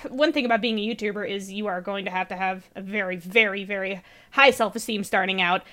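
A woman YouTuber chatting casually, with a high, chipmunk-like voice and a high-energy manner, laughing often. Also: fast, a bit bright. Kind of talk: casual chat.

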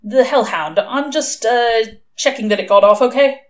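A woman's voice: on the low side, animated, a little fast, darkish tone, natural voice. A woman voicing a sardonic male demon who speaks dryly and bluffs when cornered. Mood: bluffing. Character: smooth sardonic male demon, cool and evasive.

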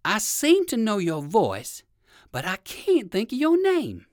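A woman voicing a polite, curious old gentleman rabbit in a children's storybook, with courteous old-fashioned manners. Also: mid-range voice, huge pitch swings, slow pace, no room sound, studio quality, pitched above the performer's own voice. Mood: eager, curious. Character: polite, eager elderly gentleman rabbit with rheumatism.

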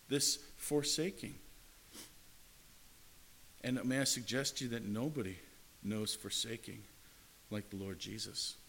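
A man's voice: medium pitch, fairly bright tone, slight background noise, poor audio. A man preaching a sermon in an earnest way, often repeating and restarting his words. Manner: earnest, repetitive phrasing with frequent restarts.

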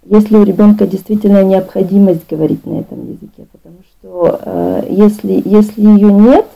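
A woman guest on a podcast, explaining thoughtfully with pauses and restarts. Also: medium pitch, animated, creaky, highs cut off. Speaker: guest expert on bilingualism. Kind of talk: casual podcast conversation.

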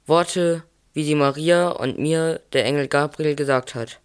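A man plays a poor, caring father in a nativity radio play. His voice is on the higher side, and he recounts things earnestly in plain words. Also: steady tone, a little slow, smooth, lightly acted. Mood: relieved, tender. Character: Joseph, poor, humble, caring new father.

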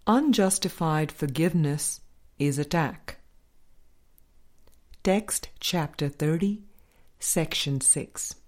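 A woman reading a spiritual book aloud as a scripted audiobook, in a measured, deliberate manner with pauses between phrases. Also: lowish voice, darkish tone. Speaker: audiobook reader.

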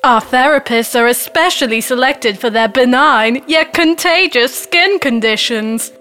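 Lady putting on spa ad voiceover woman, highish, smooth sales patter, scripted. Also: measured pace, sharp tone, faint music behind. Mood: salesy, upbeat. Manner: polished, promotional. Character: chirpy advert announcer woman for a spa. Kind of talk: scripted advert voice-over.

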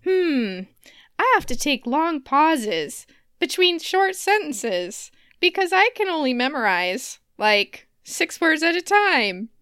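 A woman voicing a little girl who speaks in short memorized phrases with long pauses, in a parody table read. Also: high voice, big pitch swings, fairly bright tone, no echo, studio quality, voice pushed up, heavily acted. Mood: deliberate, childish. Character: slow little girl, halting memorized lines.

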